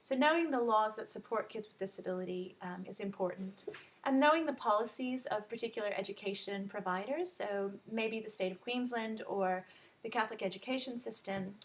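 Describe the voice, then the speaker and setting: A woman's voice: mid-range voice, brisk. A woman from Texas with an American Texan accent, giving an informal explanatory introduction to her work as an advocate and coach. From Texas, United States. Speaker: education advocate, coach and tutor introducing own service. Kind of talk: informal explanatory talk to camera.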